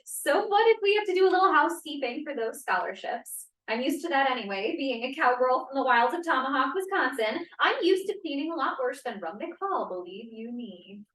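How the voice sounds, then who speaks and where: Woman playing an eager high-voiced cowgirl student, echoey. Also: animated, a little fast, hardly any pauses, fairly bright tone. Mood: proud, awed. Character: eager, chatty young cowgirl student from rural Wisconsin, 1945. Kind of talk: play table read. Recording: roomy, echoey, distant mic.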